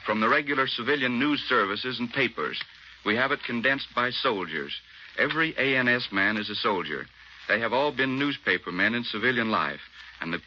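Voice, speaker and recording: An American man, an army officer, giving clipped, matter-of-fact scripted answers in a 1940s radio interview. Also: medium pitch. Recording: muffled 1940s radio, faint noise.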